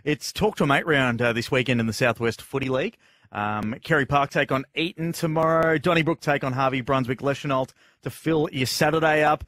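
A man's voice: mid-range voice, a little fast, fairly bright tone. An Australian man in his thirties presenting a music radio show, speaking close on a studio mic. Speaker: radio host. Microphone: close studio broadcast mic on boom arm.

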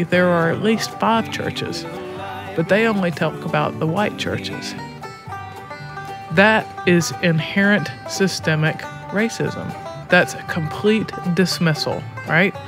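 A man talking casually and candidly, in unscripted conversation. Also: high voice.

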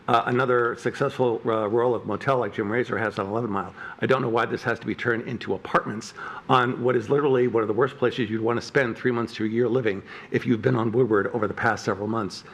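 A middle-aged man at a podium mic argues earnestly against a proposal, his points broken up by uh and um. His voice sits at an ordinary middle pitch, recorded in a council chamber. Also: fairly even intonation, quick pace, a bit bright, husky. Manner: earnest and critical, with uh and um fillers. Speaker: resident giving public comment. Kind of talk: public comment at a zoning meeting. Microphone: podium microphone.

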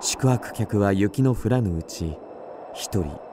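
A man narrating a literary radio drama in a measured, scene-setting way. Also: on the low side, animated, background noise.

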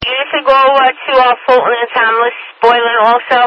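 A woman's voice: on the high side, flat delivery, a little fast. Woman dispatcher on noisy police radio. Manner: procedural, repeats details back. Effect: police radio transmission. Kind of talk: dispatch radio traffic, procedural instructions. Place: radio transmission picked up on a scanner. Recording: muffled, noisy police scanner radio with clicks.

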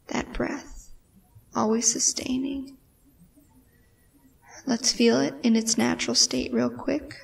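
Slow, breathy woman guiding meditation. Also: on the high side, recorded from a distance. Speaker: meditation guide. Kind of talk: guided meditation.

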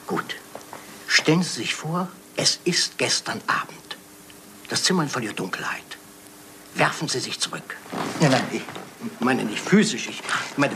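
An actor playing a courteous, shrewd detective in a German crime radio play, questioning politely and precisely. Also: male voice, medium pitch, background noise.

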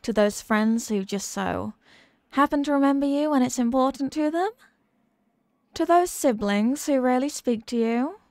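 A woman's voice: sits high, a little mellow, no echo, clean studio sound. A woman voicing a condescending, sadistic and possessive demon queen who mocks the human listener in a scripted ASMR roleplay. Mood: scornful. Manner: condescending, mocking, deliberate and teasing.

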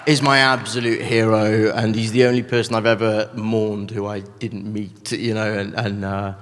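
A man's voice: lowish voice, level tone, normal speed, fairly bright tone. A man who is a guest on a panel, talking in a modest and reflective way, a little hesitant, on a handheld mic. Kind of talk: panel conversation. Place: large theater stage. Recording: reverberant hall with noise.

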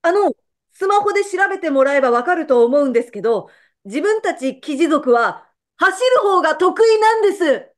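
Lady doing a meek pheasant employee in a comedy radio play, super high voice, polite and hesitant. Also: expressive, moderate pace. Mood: aggrieved. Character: meek, apologetic pheasant employee of a company president. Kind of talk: comedic radio drama acting.

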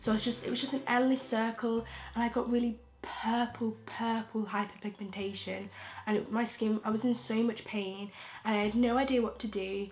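A young woman from England, croaky from a throat infection, chatting frankly and rambling with restarts as a beauty vlogger in her bedroom. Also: a little high, fairly even intonation, normal speed, slight background noise. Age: young adult. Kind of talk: casual chatty vlog. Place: bedroom, indoors. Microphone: camera in front, close framing.

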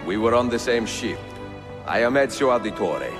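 An actor playing an older Italian master assassin, courteous and grave, with an Italian accent and measured, formal delivery in a video game. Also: male voice, medium pitch, animated, over music, natural voice. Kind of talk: cinematic video game acting.

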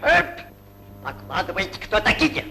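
Мужчина играет властного удава-босса. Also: male voice, high-pitched, huge pitch swings, a little slow, vocal fry, heavily acted. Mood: commanding. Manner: commanding, snaps "Эп!" orders. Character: sly domineering male boa constrictor boss. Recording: old 1940s film soundtrack with music.

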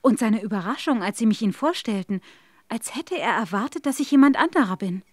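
An actress playing a polite, earnest and anxious young governess in a radio play. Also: female voice, fairly high pitch, expressive, a little fast, no echo. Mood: concerned. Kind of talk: German audio drama acting.

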